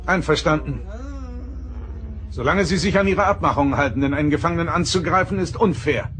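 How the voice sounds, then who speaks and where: An actor playing a principled, defiant starship captain, speaking formally and firmly in a science-fiction radio play. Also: male voice, a little high, fairly quick, fairly bright tone, a little hiss or hum, lightly acted. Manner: formal, firm, argumentative.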